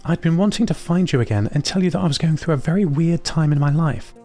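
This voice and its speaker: A man acts out an awkward, earnest woman in an audio drama. The voice sits raised in pitch, with a confiding, apologetic manner and music behind it. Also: lively intonation, fast, mellow. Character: shy, awkward, apologetic woman who opens up. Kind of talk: audio fiction character dialogue.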